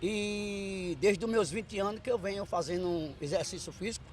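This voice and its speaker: A man's voice: sits high, moderate pace, slight background noise. A man in his sixties interviewed outdoors in a park, talking with enthusiasm and repeating praise. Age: 60s.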